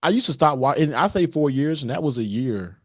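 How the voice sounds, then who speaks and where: A man in his thirties rattles along at a quick clip as a guest on a casual call. He sounds excitable and keeps checking in with "you know what I mean". The recording is dry and close, with no room sound. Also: on the high side, no room sound. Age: 30s. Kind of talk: casual chat. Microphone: video call.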